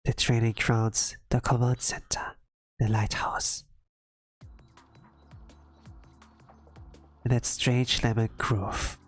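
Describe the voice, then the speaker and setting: A streamer voicing a thoughtful, curious young female researcher who muses deliberately and is quietly determined. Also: mid-range voice, flat delivery, dry recording, lightly acted. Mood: intrigued. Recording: background music under the voice.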